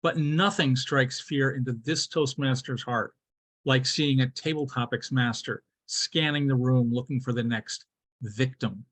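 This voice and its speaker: A man's voice: medium pitch, steady tone, unhurried, a bit bright. Theatrical man telling a humorous tall tale. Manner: theatrical, mock-serious delivery with emphatic swoops. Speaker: club speech contestant. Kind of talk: humorous storytelling speech, rehearsed.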